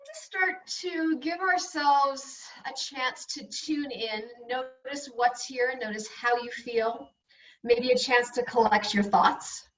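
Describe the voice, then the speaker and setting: A woman yoga instructor leading slow guided breathing and meditation in soothing, unhurried short phrases with long pauses. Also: high voice. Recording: dull, echoey room, distant mic.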